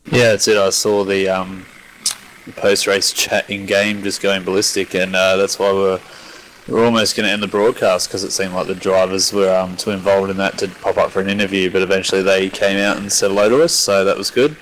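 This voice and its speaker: A man's voice: low voice, flat delivery, fairly quick, fairly bright tone, a little hiss or hum, low-quality recording. An Australian man co-hosting an online racing broadcast, chatting casually with lots of fillers on a headset mic. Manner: chatty, rambling, filler-heavy. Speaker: co-host.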